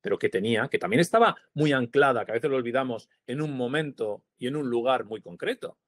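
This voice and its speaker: A man in his forties from Spain, talking about his craft with a thoughtful, halting rhythm full of fillers. His voice sits at an ordinary middle pitch. The sound is dry and close, with no room around it. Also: no echo. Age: 40s. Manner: thoughtful, hesitant, many fillers and tag questions. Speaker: translator, prize-winning guest. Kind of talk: reflective interview conversation. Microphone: video call.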